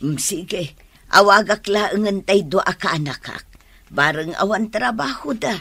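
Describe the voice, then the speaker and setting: Radio drama mother, middle-aged, Ilocano accent, husky and animated, music beneath. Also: medium pitch, a little slow, no room sound, heavily acted. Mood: earnest, encouraging. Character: supportive mother encouraging young women to study. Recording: music under the voice.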